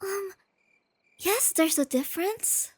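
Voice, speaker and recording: A woman playing a shy, nerdy, tender young girlfriend in a soft-spoken ASMR roleplay, with a breathy near-whisper and hesitant, gently stammering delivery, crickets chirping behind her. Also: extremely high pitch, expressive, slow, dry recording, heavily acted. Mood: earnest, gently teasing. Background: crickets and night insects.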